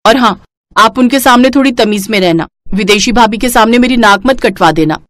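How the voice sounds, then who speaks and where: A woman's voice: fairly high pitch, a little fast, fairly bright tone, dry recording, close to an everyday voice. A woman voicing a gluttonous, cheeky young daughter-in-law in a cartoon family story, exclaiming gleefully. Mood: bossy. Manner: gleeful, exclaiming over food.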